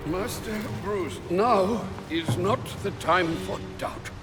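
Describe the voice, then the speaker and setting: Slow-speaking elderly butler. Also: male voice, sits high, over music. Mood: earnest, firm. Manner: formal, measured counsel. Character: loyal, wise, elderly male butler, tender but firm. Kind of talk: video game cinematic acting.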